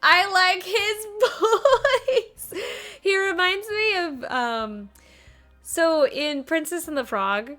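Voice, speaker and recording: A woman game streamer reacting casually to a playthrough, playful and expressive, half talking to herself. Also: extremely high pitch, drawn-out words, soft background music.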